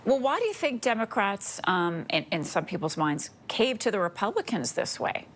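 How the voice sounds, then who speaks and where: A woman's voice: medium pitch, sing-song, normal speed. A woman anchoring television news, conducting a live interview with a guest.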